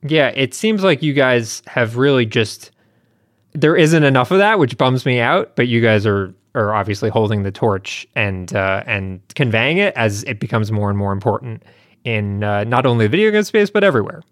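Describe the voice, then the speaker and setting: A man's voice: mid-range voice, expressive, normal speed, a bit bright, dry recording, clean studio sound. A man hosting a podcast in a casual, conversational way with informal asides. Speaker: podcast host.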